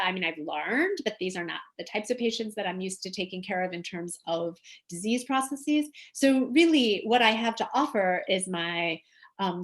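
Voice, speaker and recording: A woman's voice: fairly low pitch, fairly bright tone. A middle-aged woman giving an informal online presentation as a guest lecturer, earnest and conversational, stressing key words. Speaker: guest lecturer, humanitarian nurse. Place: small indoor room at home or office. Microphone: computer mic on video call.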